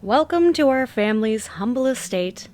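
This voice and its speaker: A woman's voice: on the high side, animated, moderate pace, a little mellow, lightly acted. A woman voicing a guarded, sardonic young woman in a visual novel, with dry, clipped, sarcastic delivery. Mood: dry, warning. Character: guarded, sardonic young woman, aloof cousin of a decaying estate. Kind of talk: fan-dubbed visual novel dialogue.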